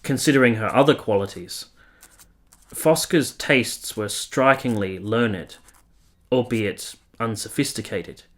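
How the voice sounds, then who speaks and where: An actor playing a brooding, conflicted nineteenth-century soldier, narrating a literary radio play in a formal, measured, eloquent manner. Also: male voice, medium pitch, lightly acted. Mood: brooding, intense.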